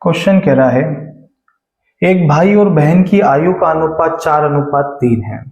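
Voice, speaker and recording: A man's voice: on the high side, a little mellow, reverberant, lo-fi sound. A man teaching a math lesson for exam prep, explaining step by step and often checking that students follow. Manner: stretches key phrases slowly while reading the question aloud.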